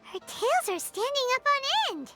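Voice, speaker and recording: A woman voicing a tiny, excitable, childlike fairy companion in a video game, with a squeaky voice. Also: measured pace, faint music behind, full character voice. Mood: curious, puzzled. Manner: refers to self in third person, exclamatory.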